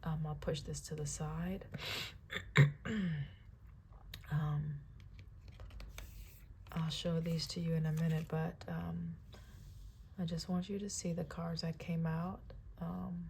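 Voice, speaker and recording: A woman with a raspy, froggy early-morning voice, giving a spiritual tarot reading with spoken prayers in a calm, soft-spoken way that often checks in with listeners. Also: low voice, takes it slowly, long pauses, a little hiss or hum. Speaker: tarot reader.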